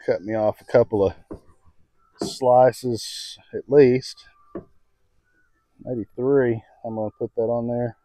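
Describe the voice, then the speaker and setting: A man's voice: medium pitch, steady tone, slow pace, long pauses, dark tone, no room sound. An American man hosting a casual outdoor cooking vlog, talking in a folksy, informal way and thinking aloud. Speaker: home cooking video host. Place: outdoors on a home patio. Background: music from a radio.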